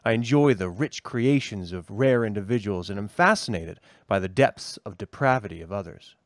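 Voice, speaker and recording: A man reading a personal essay aloud as a co-host, in an expressive read. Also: fairly low pitch, normal speed, no echo, clean studio sound.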